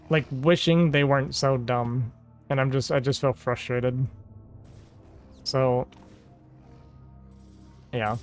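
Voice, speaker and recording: Man, game streamer talking to his chat; somewhat raised pitch, rambling and exasperated, faint background music. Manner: rambling and exasperated, heavy use of "like". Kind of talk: casual livestream chat with viewers.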